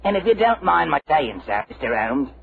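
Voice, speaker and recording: Cheeky village cop, creaky voice, folksy, tinny old radio recording. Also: male voice, a little high, big pitch swings, fairly quick. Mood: chatty, cheeky. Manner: folksy, chatty, forthright. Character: cheeky, self-important male village police constable. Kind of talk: 1940s radio acting.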